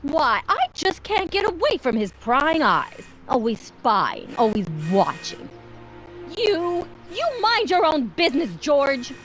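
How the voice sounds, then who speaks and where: A woman's voice: high-pitched, sing-song, slow, music in the background, heavily acted, rough recording. An actress voicing a tipsy, defensive middle-aged mother in a video game, drawling and melodramatic. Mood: aggrieved.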